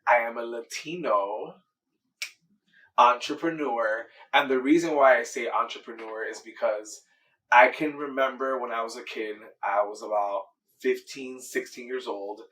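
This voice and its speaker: Man in his thirties, from Miami, earnest, enthusiastic, mid-pitched voice, mic a little far off. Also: unhurried, fairly bright tone. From Miami, Florida, USA. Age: 30s. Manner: earnest, enthusiastic, repeats himself. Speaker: dancer and choreographer, guest. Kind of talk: casual interview answers.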